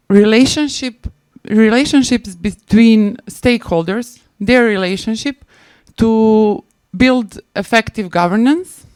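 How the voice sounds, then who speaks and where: Clear-voiced Serbian-accented woman presenting, haltingly. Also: fairly high pitch, a little mellow, dry recording. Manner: hesitant, halting, repeats and restarts phrases. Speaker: conference presenter, maritime spatial planner. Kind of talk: prepared conference presentation.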